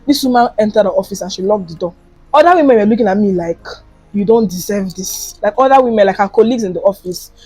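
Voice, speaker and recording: A woman's voice: mid-range voice, expressive, fast talker, warm tone, husky, no room sound, soft background music. A young woman from Nigeria with a Nigerian accent, telling a story in a casual vlog while she does her makeup, acting out the lines of the people in it. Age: young adult. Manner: chatty and dramatic, acting out quoted lines. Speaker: vlogger.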